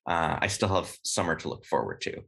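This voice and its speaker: An American man, a podcast guest, talking casually and thoughtfully in an interview, often pausing with "uh" and "you know". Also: rumbling low, sing-song, vocal fry, a bit bright. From United States. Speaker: podcast guest, game writer.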